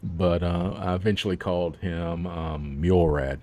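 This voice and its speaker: A man's voice: sits low, flat delivery, moderate pace, dark tone, soft background music. A man, an author, talking about his book in a casual interview, rambling with plenty of fillers and laughs.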